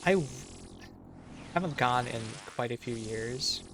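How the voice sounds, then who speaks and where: A man's voice: medium pitch, measured pace, noisy background. A man with a Minnesotan accent, in his thirties, chatting casually with viewers while he streams a game and restarting his sentences as he goes. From Minnesota, USA.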